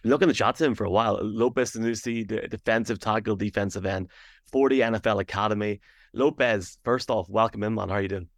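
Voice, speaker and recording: Male podcast host, low-pitched, rapid chatty delivery, dry close sound. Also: no echo. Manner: rapid, chatty, lots of restarts. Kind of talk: casual interview chat.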